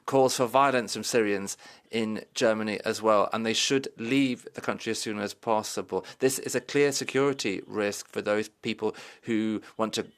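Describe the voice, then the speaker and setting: A man with a low, smooth and resonant voice interpreting a parliamentary speech into English. He pushes the lines out forcefully and stumbles into restarts and fillers along the way. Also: steady tone, normal speed.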